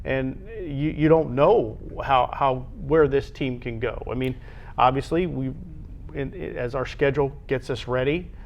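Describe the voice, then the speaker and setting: A man hosting a conversational sports show, with halting phrasing that often repeats itself. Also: medium pitch, fairly even intonation, a little mellow, highs cut off, a little hiss or hum. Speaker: sports talk show host.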